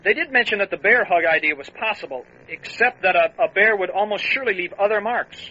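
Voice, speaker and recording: Sharp, high-voiced deputy on police radio. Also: male voice, expressive, fast talker, heavily acted. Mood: matter-of-fact. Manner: clipped, matter-of-fact reporting. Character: earnest, matter-of-fact deputy reporting to his boss. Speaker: voice actor. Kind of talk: old-time radio revival drama acting. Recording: lo-fi two-way radio sound.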